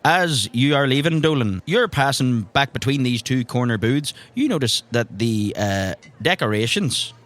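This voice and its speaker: Mid-pitched male game master narrating. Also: expressive, normal speed, hardly any pauses, fairly bright tone. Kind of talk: improvised narration of a tabletop game.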